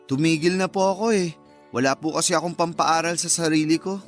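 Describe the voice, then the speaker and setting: Actor as a high-voiced, eager young Filipino suitor. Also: male voice, fairly quick, soft background music, lightly acted. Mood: humble. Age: young adult. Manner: friendly, modest, deferential. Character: polite, eager nineteen-year-old working-class suitor. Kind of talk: Tagalog radio drama acting.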